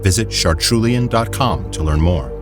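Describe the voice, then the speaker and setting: Deep-voiced man reading credits over music. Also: very animated, normal speed. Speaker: credits announcer. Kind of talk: scripted credits narration. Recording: music behind the voice.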